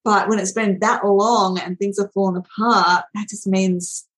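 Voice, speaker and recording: A woman hosting a podcast, chatting in an affirming, easygoing way and filling her replies with little ums and yeahs. Her voice sits at an ordinary middle pitch. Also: steady tone, a little slow. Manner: warm, affirming, chatty with fillers. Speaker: podcast host. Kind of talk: podcast interview.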